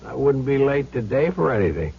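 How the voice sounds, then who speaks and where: A dull, slightly noisy old radio drama recording of a reformed gambler turned devoted husband. He speaks in a creaky, mid-pitched voice that swings with eager affection. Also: very animated, a little fast, full character voice. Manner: affectionate, upbeat, emphatic. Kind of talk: 1970s radio drama acting.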